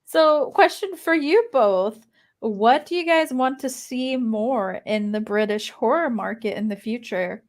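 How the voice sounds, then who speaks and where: A woman with a Mexican accent hosting a film podcast, talking in an easygoing, unhurried way. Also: on the high side, expressive. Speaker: podcast host. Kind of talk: casual film discussion.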